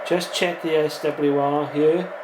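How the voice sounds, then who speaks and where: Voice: medium pitch, steady tone, slow pace, noisy background. A hobbyist presenter giving a casual beginner's how-to, talking plainly and without hurry through each step while working.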